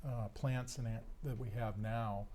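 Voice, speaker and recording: A man's voice: medium pitch, steady tone, a little slow, warm tone, slight background noise. A man, a doctor explaining things plainly as a guest on a radio show in the studio. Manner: easygoing, plain-spoken, explains with everyday examples. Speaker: ear, nose and throat doctor.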